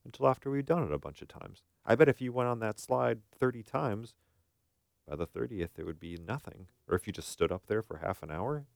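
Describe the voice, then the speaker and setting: A man with a very low, gravelly voice talks as a therapist co-host in a casual advice discussion. He explains step by step and keeps posing questions to check agreement. The sound is dry, with little room in it. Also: fairly quick, no room sound. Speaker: therapist co-hosting a talk show.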